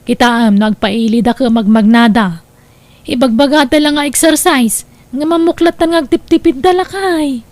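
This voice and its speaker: A woman's voice: high voice, normal speed, darkish tone, no room sound, natural voice. A woman playing a nosy, gossiping village neighbour in an Ilocano comedy drama, speaking chattily with an Ilocano accent. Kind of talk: Ilocano comedy radio-style drama acting.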